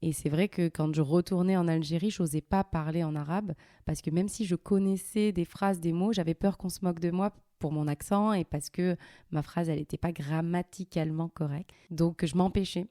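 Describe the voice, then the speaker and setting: A woman in her thirties hosting a casual interview, asking questions in a gentle, measured and thoughtful way. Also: fairly low pitch, fairly even intonation, dry recording, studio quality. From Algeria, raised in France. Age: 30s. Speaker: host interviewing her mother.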